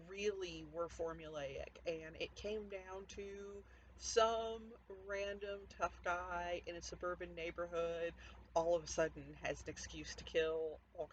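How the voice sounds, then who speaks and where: A woman author speaking informally as she presents her own fiction from home. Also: on the low side. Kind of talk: casual introduction to a home story reading. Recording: dull lo-fi audio, noticeable background noise.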